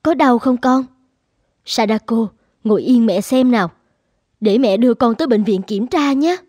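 A woman's voice: on the high side, moderate pace, darkish tone, slightly rough, no echo. A woman voicing a loving, anxious mother in a cartoon, speaking in a tender, pleading way. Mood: concerned. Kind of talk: animated cartoon dubbing.

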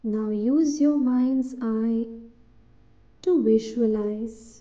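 A woman narrating a scripted guided meditation in a soothing, deliberate way, in short phrases with pauses between them. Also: a little high, mellow, muffled recording.

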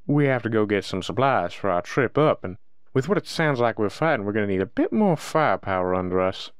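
A man's voice: fairly low pitch, very animated, fairly quick, smooth, no echo, clean studio sound. A man playing a joking, easygoing werewolf brother in an audio drama, with a playful, teasing manner. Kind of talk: roleplay audio drama acting.

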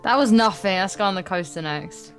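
A woman's voice: mid-range voice, brisk. A woman reading visual novel lines aloud in a casual let's play, with sarcastic, irreverent asides. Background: game music.

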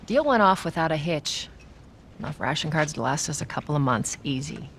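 An actress playing a tough, hard-bitten smuggler who talks in a cool, wry, blunt way in a video game. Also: female voice, low voice, animated, a little fast, slight background noise. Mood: wry, casual. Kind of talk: naturalistic dramatic game acting.

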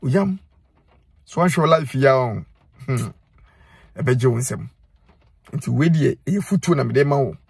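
A man's voice: medium pitch, animated, measured pace, gravelly, long pauses, breathy edge, no echo. A middle-aged Ghanaian man speaking Twi, giving earnest, scolding advice straight to his phone camera from inside a car, and repeating lines for emphasis. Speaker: social media commentator. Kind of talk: direct-to-camera advice monologue. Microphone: phone held close.

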